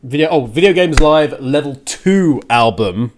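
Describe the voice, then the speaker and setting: A man hosting a music radio show and podcast solo, talking casually in a halting, rambling, conversational way with a bit of a rant to it. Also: on the high side, animated, slight background noise. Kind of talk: casual solo podcast talk, partly ranting.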